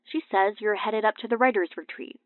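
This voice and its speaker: A woman's voice: mid-range voice, brisk, no echo. A woman voicing a stern, exasperated male police detective in an audiobook, blunt and pressing, through a phone filter. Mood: probing. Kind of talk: audiobook character dialogue. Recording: tinny band-limited phone-call effect.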